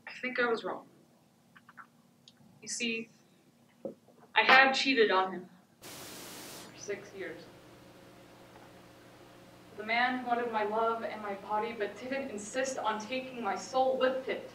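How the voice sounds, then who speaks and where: A haunted battered wife acted by a teenage girl delivers a deliberate stage monologue in a bright, fairly high voice. She sounds resigned and blames herself, heard from far off in an echoing theatre. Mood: resigned, self-blaming. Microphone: far camera. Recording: echoey noisy theatre, distant microphone.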